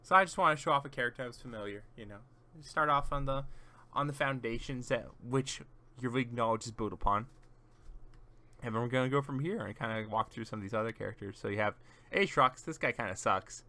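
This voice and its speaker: A man's voice: mid-range voice, a little fast, pushed and bright. A man casually chatting on a gaming stream, giving rambling, teasing explanations to a friend as he shows off game characters.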